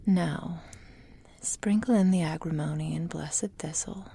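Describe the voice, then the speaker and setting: Slow, whispering immortal witch played by a woman. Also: low-pitched, warm tone, slight background noise. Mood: gently encouraging. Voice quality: breathy, whispery. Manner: slow, tender, intimate delivery. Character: doting, possessive immortal witch mentoring her beloved apprentice. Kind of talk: ASMR roleplay.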